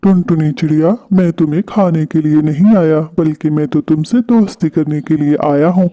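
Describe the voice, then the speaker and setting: A man's voice: on the high side, steady tone, normal speed, dark tone, highs cut off, lightly acted. A man voicing a big male kite, a predatory bird in a children's cartoon. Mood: remorseful, gentle. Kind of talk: Hindi children's cartoon voice acting.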